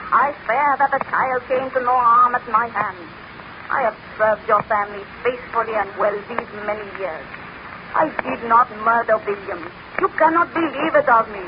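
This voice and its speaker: A woman's voice: on the high side, moderate pace, close to an everyday voice. An actress playing a proud, desperate servant girl protesting her innocence, in declamatory 1930s radio drama style. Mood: pleading. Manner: emphatic, declamatory pleading. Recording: noisy, dull 1930s radio recording.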